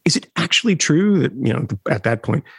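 A middle-aged man, a reporter guest on a podcast, talking in an animated way with wry asides and chuckles. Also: on the high side, fairly quick, creaky, slightly rough, no room sound, clean studio sound. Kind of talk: conversational interview.

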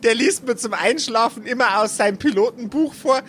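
A woman's voice: a little high, brisk, natural voice. A woman voicing an eager know-it-all schoolgirl, stammering and insistent. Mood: eager, flustered. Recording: faint background noise.

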